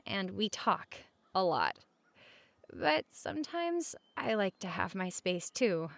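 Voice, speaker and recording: A woman playing a friendly, reassuring roommate in a conversational comfort roleplay, speaking gently and casually at regular volume. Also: mid-range voice, gravelly, no echo. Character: friendly, reassuring young female roommate offering company.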